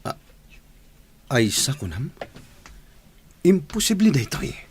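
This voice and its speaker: Animated, flustered Ilocano radio-drama engineer. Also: male voice, medium pitch, a little slow, noisy background. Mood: stunned. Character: flustered, insistent male engineer in radio serial.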